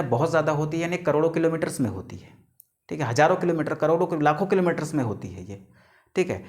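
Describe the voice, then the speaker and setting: Man, 30s, teacher, fairly high voice, asks and answers his own questions. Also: darkish tone. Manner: calls students "beta", asks questions and answers them himself; stretches key English terms out slowly, word by word. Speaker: biology teacher. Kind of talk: classroom lecture to students.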